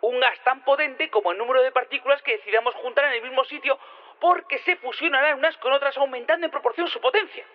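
A very high-pitched, fast-talking male inventor from Spain, excitable and cocksure. He repeats himself emphatically in this audio drama, heard through a thin, tinny radio-like sound. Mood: eager. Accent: Spanish (Spain). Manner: repetitive, emphatic, tumbling over words.

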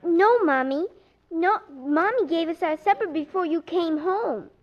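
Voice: very high voice, lively intonation, a little slow, full character voice. An actress playing a sassy, wisecracking young daughter in a 1940s radio comedy. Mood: sweet. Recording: muffled, noisy 1940s radio, studio audience.

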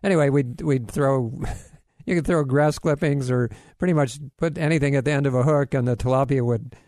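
A man's voice: medium pitch, moderate pace, darkish tone, no echo, clean studio sound. A man from Hawaii hosting a casual radio health segment, rambling with many fillers and restarts and corny jokes. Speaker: radio health show host.

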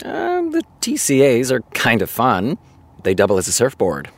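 An actor playing a weary male TV show creator, with a deadpan, sardonic, reluctant manner in a naturalistic audio drama. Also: mid-range voice, normal speed. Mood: dry, weary.

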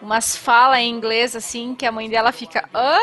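A woman's voice: on the high side, flat delivery, normal speed, fairly bright tone. A woman cohosting a podcast, chatting and reading a listener's email. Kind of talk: casual chat.

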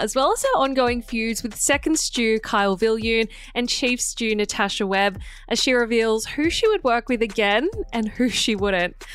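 A woman hosts a podcast with an upbeat, gushing delivery. Her voice sits a little high as she reads her intro and asks questions. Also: fairly bright tone, clean tone. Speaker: podcast host. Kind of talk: scripted intro then interview.

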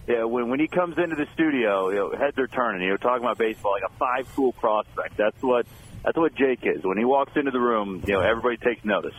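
A man calling in remotely from spring training, laying out baseball analysis in a relaxed, measured way. A faint noise floor hangs behind the line. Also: medium pitch, low-quality recording. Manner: easygoing, analytical. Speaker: team beat reporter. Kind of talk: remote radio interview.

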